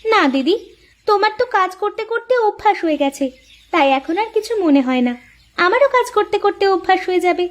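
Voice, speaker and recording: A woman's voice: extremely high pitch, animated, fairly quick. A woman voicing a devoted, eager younger co-wife in a village cartoon, with a pleading, girlish, deferential manner. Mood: eager, insistent. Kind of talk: cartoon voice acting.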